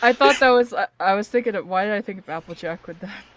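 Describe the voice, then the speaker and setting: A woman chatting casually as a guest commentator on a stream, haltingly repeating her words. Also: mid-range voice, slight background noise. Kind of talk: casual chat.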